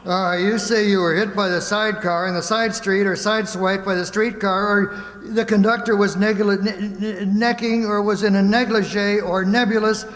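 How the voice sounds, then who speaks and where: Man playing a high-voiced, tongue-tangled shifty lawyer. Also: steady tone, normal speed, few pauses, a bit bright. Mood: blustering, muddled. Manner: stammering, rambling, muddles words. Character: bumbling, shifty male small-town lawyer, tongue-tangled. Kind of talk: old-time radio comedy acting on stage. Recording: echoey hall with long reverb.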